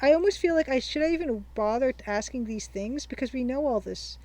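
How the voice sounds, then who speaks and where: A woman livestreaming, chatting casually as she plays, reading lines back slowly while she takes notes. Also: high voice, animated, mellow. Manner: thinks aloud, reads game lines back slowly while taking notes. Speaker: livestreaming artist and game developer.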